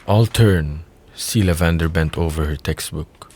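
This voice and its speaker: A man with a notably deep voice serves as narrator of an audio drama. He reads scripted scene descriptions and stage directions between the dialogue. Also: a little slow, a little mellow, a little hiss or hum.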